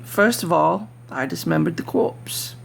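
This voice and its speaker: A woman's voice: on the low side, expressive, measured pace, creaky, a little hiss or hum, full character voice. A woman voicing an unhinged, cunning murderer in a theatrical, intense dramatic reading of a horror story. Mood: cold, smug. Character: unhinged murderer, smug and cunning, growing frantic.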